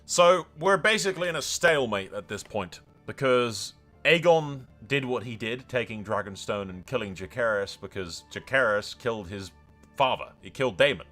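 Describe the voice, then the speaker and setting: Sweary man commentating a game, bright voice. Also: medium pitch, animated, normal speed, faint music behind. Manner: sweary, emphatic, rambling, given to theatrical declarations. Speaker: gaming content creator and host. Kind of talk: excited gameplay commentary with in-character roleplay.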